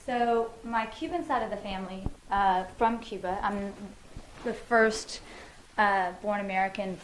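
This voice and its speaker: A woman's voice: medium pitch, a little slow, a little hiss or hum, low-quality recording. A woman musician answering interview questions in her living room, thoughtful and loose, with many fillers and restarts.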